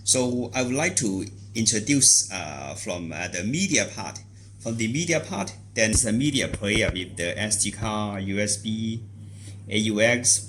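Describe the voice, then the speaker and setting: A man giving an unscripted product demonstration, explaining in a halting, repetitive way with non-native phrasing. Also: fairly low pitch, a bit bright, slight background noise.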